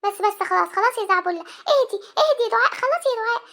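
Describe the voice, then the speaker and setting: A performer voicing an affectionate old grandmother who coaxes and soothes as peacemaker in a family cartoon skit, with a pitched-up voice. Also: animated, very fast, heavy background noise. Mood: coaxing. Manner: coaxing, soothing.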